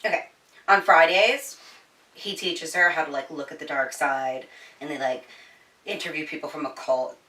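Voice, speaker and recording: Female host, casual book talk. Bright, forward tone, informal and giggly, recorded a bit off-mic in a roomy space. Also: sits low, moderate pace, far from the mic. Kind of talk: casual chatty book review. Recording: roomy echo, slightly off-mic.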